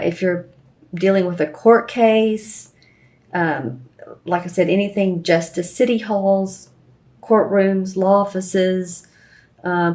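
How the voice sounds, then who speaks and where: A woman giving a casual, rambling tarot reading to her viewers, with a slightly hoarse voice and plenty of fillers and restarts. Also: lowish voice. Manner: meandering, with frequent fillers and restarts. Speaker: tarot reader.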